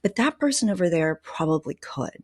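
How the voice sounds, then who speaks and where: Lower-pitched woman hosting a podcast. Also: sing-song, a little fast, husky. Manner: enthusiastic, emphatic. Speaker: podcast host. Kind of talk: casual podcast talk to listeners and guest.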